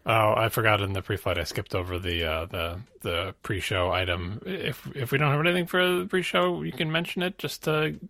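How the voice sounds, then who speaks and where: A man co-hosting a podcast, chatting casually with teasing, quick interjections. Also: fairly low pitch, vocal fry, pushed and bright, no room sound. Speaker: podcast co-host. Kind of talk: casual chat.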